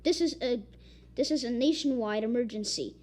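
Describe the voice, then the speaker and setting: A woman reading a made-up emergency alert, halting and stumbling over repeated words. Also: fairly high pitch, a little mellow. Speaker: amateur emergency alert announcer. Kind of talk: improvised emergency broadcast reading.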